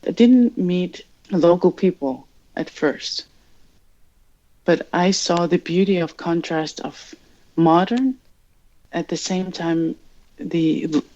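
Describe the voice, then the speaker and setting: A woman from Greenland whose first language is Greenlandic, speaking English slowly and thoughtfully, searching for words as an interview guest. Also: lowish voice, warm tone. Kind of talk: reflective interview answers. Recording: dull lo-fi sound.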